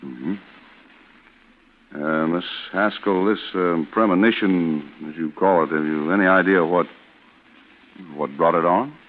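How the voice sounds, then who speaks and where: An actor playing a polite, probing small-town official with a hesitant, matter-of-fact way of questioning, in an old-time radio suspense drama. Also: male voice, low voice, a little slow, pauses often. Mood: probing, puzzled. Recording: noisy, tinny vintage radio broadcast.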